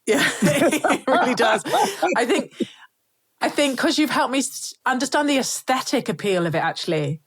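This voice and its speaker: A woman's voice: on the high side, expressive, normal speed, husky. A woman hosting an interview, chatting casually, laughing and asking thoughtful questions. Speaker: podcast host.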